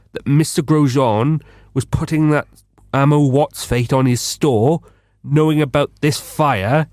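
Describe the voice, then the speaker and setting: An actor playing a bumbling, self-important London detective with a pompous, eager manner in a comedic radio drama. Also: male voice, mid-range voice, darkish tone, dry recording, clean studio sound, lightly acted.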